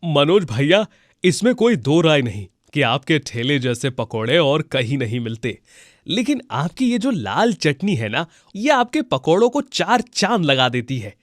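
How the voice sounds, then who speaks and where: A man plays an admiring customer in a cartoon moral tale. He is chatty and effusive, with a fairly high male voice and a dry recording. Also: big pitch swings, unhurried, dry recording.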